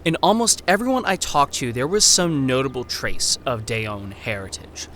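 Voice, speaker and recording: A man playing a wary young anthropologist in a horror audio drama, reading his letters in formal, precise, measured diction. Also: mid-range voice, animated, a bit bright, slight background noise, lightly acted. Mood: intent, troubled.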